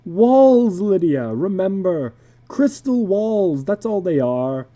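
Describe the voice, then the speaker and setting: A woman's voice: mid-range voice, very animated, takes it slowly, warm tone, voice pushed up. A woman voicing a reassuring husband and father in a dramatic story reading, soothing and reasoning. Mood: reassuring, shaken.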